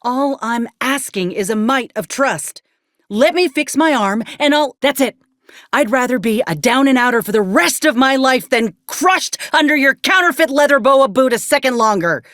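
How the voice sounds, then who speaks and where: A woman's voice: high-pitched, animated, moderate pace, fairly bright tone, no echo. An actress playing a plainspoken, stubborn woman soldier in a video game, with folksy, dry, blunt phrasing. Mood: angry, defiant. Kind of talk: video game character dialogue.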